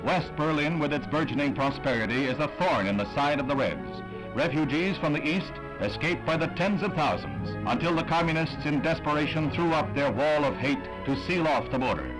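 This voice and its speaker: A man's voice: on the high side, lively intonation, a little fast, poor audio. An American man reading scripted 1960s newsreel narration in a dramatic, solemn, declamatory manner, on a vintage film soundtrack. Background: orchestral newsreel music.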